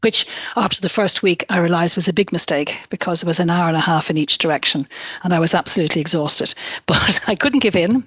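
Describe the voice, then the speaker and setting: An Irish woman in her sixties, a guest telling her story in a chatty, relaxed radio interview. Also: lowish voice, no room sound. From Ireland. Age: 60s. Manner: chatty, laughs now and then while recounting.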